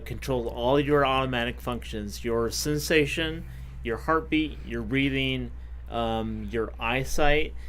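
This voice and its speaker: A man of fifty, a podcast guest, telling his own story in a casual, halting way with many fillers and self-corrections. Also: mid-range voice, a little hiss or hum. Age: 50s.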